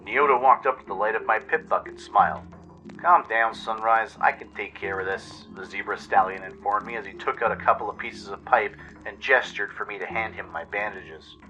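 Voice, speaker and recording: A man's voice: on the low side, brisk, few pauses, pushed and bright, soft background music, low-quality recording. A man reading scripted first-person story narration for a radio play.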